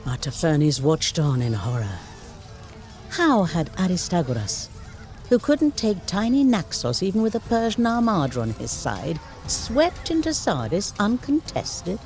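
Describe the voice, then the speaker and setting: Grave, theatrical ancient war chronicler. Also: male voice, high voice, sing-song, a little slow, darkish tone, exaggerated voice. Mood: grave, dramatic. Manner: grave, theatrical storyteller with rhetorical flourishes. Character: male ancient chronicler recounting war with solemn relish. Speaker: narrator of game cutscenes. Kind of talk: dramatic scripted historical narration. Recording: studio voice-over under prominent music.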